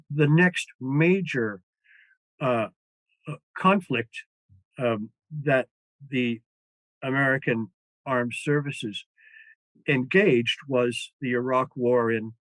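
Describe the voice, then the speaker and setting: An older man, a former foreign correspondent, speaking as a guest in an interview, with many pauses, fillers and restarts. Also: mid-range voice, fairly bright tone, dry recording, low-quality recording. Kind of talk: interview conversation.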